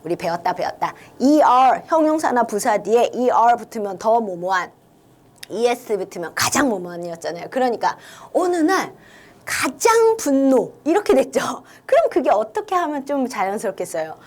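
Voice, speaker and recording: A woman's voice: fairly high pitch, moderate pace. A woman teaching an online English lesson, walking young students through a story line by line and coaxing them to think along. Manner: lively, coaxing, talks to students directly.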